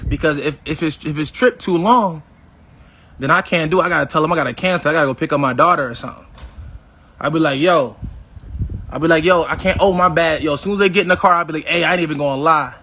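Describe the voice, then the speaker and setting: A man's voice: fairly high pitch, fast talker, sharp tone, husky. A man in his fifties talking casually to his viewers, boasting in a swaggering way and acting out old conversations. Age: 50s. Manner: swaggering, addresses viewers directly as y'all; acts out past conversations in quotes. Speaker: vlogger. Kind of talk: casual boastful livestream talk.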